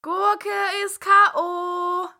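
A woman playing a plucky, bossy little sister in an audio drama, deadpan and commanding. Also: extremely high pitch, drawn-out words, heavily acted. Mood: matter-of-fact.

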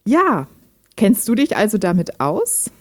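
A woman's voice: mid-range voice, huge pitch swings, a little slow, darkish tone. A woman playing a lonely, reserved hobby programmer in an audio drama, speaking in a dry, direct way. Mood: curious, friendly. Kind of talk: amateur audio drama dialogue, read chat.

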